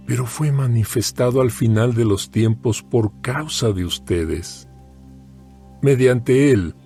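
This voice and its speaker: A man giving a solemn, measured scripted reading of scripture as a narrator. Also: medium pitch, dark tone. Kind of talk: scripted dramatized Bible reading. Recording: music bed under the voice. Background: music.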